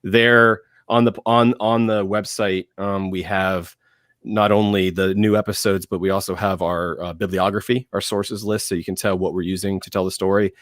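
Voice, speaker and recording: A man chatting casually as a podcaster on a panel, with a halting, self-correcting delivery. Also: deep voice, little pitch movement, fairly bright tone, dry recording, studio quality.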